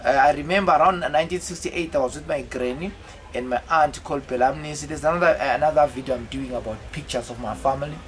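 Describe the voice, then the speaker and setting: Halting middle-aged man telling his life story. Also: fairly high pitch, slight background noise. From South Africa. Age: 50s. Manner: halting, with many fillers and repeated words. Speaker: autobiographical vlogger. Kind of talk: rambling personal life story.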